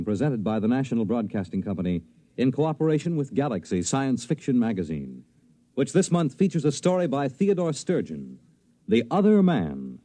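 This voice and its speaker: A man's voice: medium pitch, mellow, no echo. A man announcing formally from a script in a polished 1950s network radio style. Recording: 1950s radio broadcast with music.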